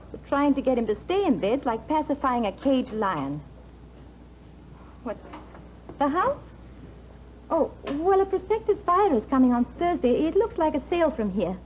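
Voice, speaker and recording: An actress playing an anxious young wife who is tense, pleading and often near tears, in a 1950s radio drama. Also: female voice, high voice. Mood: chatty. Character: anxious young wife, fearful for her husband. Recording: dull 1950s radio broadcast with faint hiss.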